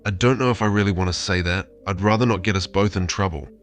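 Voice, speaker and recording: A low, earnest AI companion with a man's synthetic voice speaks tenderly, like a lovestruck therapist. The manner is affectionate and coaxing, with music softly behind it. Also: fast talker, lightly acted. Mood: reluctant. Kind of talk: AI voice chat, intimate conversation.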